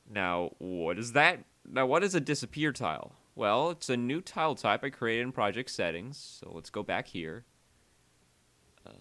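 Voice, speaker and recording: A man narrates a software tutorial in a casual, conversational way. His voice is bright and forward, and his pitch moves around a lot. His energy is uneven as he steps through explanations and dwells on key words. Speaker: tutorial creator and presenter.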